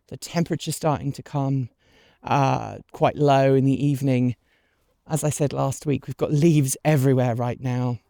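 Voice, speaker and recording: A woman's voice: deep voice, dark tone, smooth, dry recording, clean studio sound. A woman hosting an unscripted garden vlog outdoors, chatting casually with lots of ums while recovering from a cold and a bit sniffly. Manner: chatty, rambling, with many ums. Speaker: vlog host. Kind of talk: scripted outro thanking supporters.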